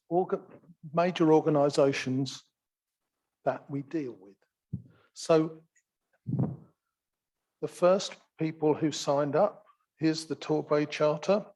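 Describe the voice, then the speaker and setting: A soft-spoken man giving an informal talk at a community meeting, unhurried and gently wry. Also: fairly high pitch, rough recording. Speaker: assembly action group member speaking at a community meeting. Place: theatre function room, live event.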